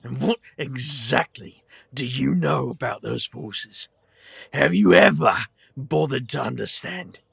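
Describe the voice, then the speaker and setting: A man playing a blunt, protective outsider and awkward mentor in an audio drama, halting but earnest. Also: mid-range voice, creaky, fairly bright tone, husky, no room sound, clean studio sound, exaggerated voice. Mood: defiant, indignant.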